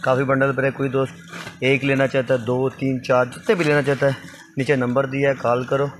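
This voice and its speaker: A young man from Pakistan speaks at an ordinary, mid-height pitch, with a repetitive salesman's patter. He is recorded close on a phone inside a small shop packed with clothing bundles, with a faint shop hum underneath. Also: little pitch movement, brisk. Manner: repetitive salesman patter, urging viewers to look and call. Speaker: vlogger selling used clothing bundles. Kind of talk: casual sales vlog.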